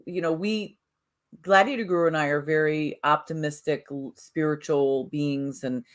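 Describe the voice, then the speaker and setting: A woman with a notably low voice hosts a relaxed spiritual conversation. She wanders through her thoughts, restarting sentences and tossing in "you know" often. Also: moderate pace. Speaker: podcast co-host. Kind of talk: casual spiritual chat.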